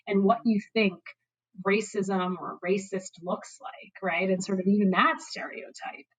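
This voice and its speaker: A woman's voice: medium pitch, fairly even intonation, unhurried, slightly rough, poor audio. A woman whose first language is Bengali speaks in an interview with emphatic, passionate conviction. She builds her points with personal examples and pointed questions. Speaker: guest, diversity executive. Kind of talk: podcast interview.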